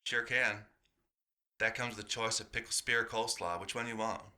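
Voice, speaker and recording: A man's voice: fairly low pitch, steady tone, normal speed, pushed and bright. A man voicing a big, friendly, no-nonsense diner waitress with a folksy, direct manner in an audio roleplay. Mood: chatty.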